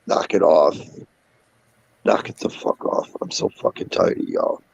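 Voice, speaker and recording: A sick, hoarse man with a raspy voice, ranting heatedly and cursing a lot as co-host. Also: unhurried, a little mellow, slight background noise. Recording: dull dry lo-fi audio.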